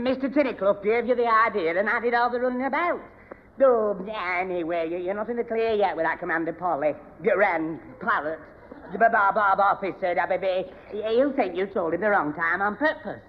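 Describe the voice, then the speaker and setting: A man's voice: sits high, moderate pace, highs cut off, natural voice. Man playing a stammering, dim, nervous sidekick, noisy recording. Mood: nervous, dithering. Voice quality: stammering, spluttering. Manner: dithering, timid. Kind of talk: 1970s British radio sitcom acting. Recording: noisy old radio recording.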